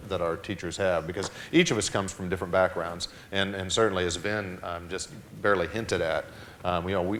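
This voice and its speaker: A man's voice: sits low, a bit bright, slight background noise. A man who is a school district administrator, answering a question at a town hall panel in an earnest way, with many restarts and repeated words.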